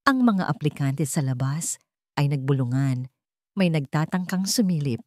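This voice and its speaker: Woman reading a dramatic story, lowish voice, dry close sound. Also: animated, moderate pace, mellow, no echo. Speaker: story narrator. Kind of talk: scripted dramatic story narration.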